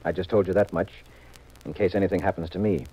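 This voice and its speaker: Low-voiced, cool radio detective. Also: male voice, fairly even intonation, dark tone, husky, lo-fi sound. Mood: brisk, guarded. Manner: dry, commanding, unhurried. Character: cool, wry, assured male detective, married sleuth. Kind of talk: 1950s radio mystery acting. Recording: noisy 1950s radio recording.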